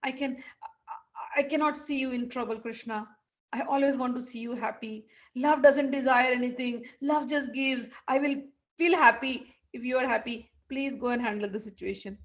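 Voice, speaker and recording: A woman voicing a devoted young woman in love, tender, selfless and submissive, speaking softly. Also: high voice, normal speed, highs cut off, lightly acted. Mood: tender, resigned.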